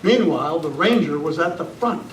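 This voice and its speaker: A man's voice: fairly high pitch, expressive, moderate pace, natural voice. A man reading scripted narration for an old-time radio western. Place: club meeting room, live presentation. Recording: echoey, noisy room, distant mic.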